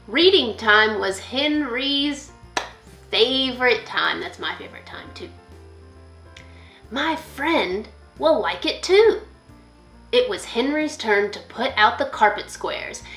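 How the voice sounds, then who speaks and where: A woman reading a children's picture book aloud as a school librarian, expressively, pausing to put questions to young listeners. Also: on the high side, sharp tone, echoey room.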